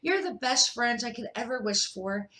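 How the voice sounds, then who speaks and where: Woman reading as a gentle, bright-voiced storybook badger. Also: on the high side, reverberant. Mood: pleased. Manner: gentle, measured, expressive. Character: kindly, reassuring male badger in a storybook.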